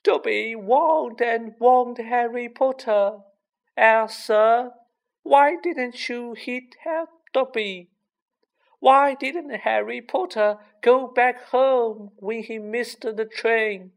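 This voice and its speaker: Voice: medium pitch, drawn-out words, creaky, voice pushed up. A storybook reader voicing a small, miserable, servile male house elf who pleads and refers to himself in the third person. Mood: miserable, pleading.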